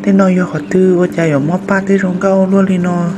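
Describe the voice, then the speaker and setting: A man voicing an excitable adult man in a folk-tale cartoon, exclaiming often. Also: high-pitched, a little fast, noisy background, close to an everyday voice. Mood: lively. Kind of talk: cartoon voice acting. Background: music.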